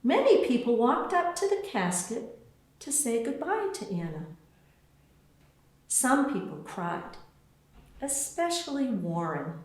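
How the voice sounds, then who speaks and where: The voice is a woman's, reading a picture book aloud. She goes slowly and deliberately, with a wide, lilting range that shapes each phrase for young listeners. The room around her rings with heavy echo. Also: medium pitch. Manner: slow, deliberate, expressive phrasing for children. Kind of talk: scripted storybook narration. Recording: very echoey, roomy sound.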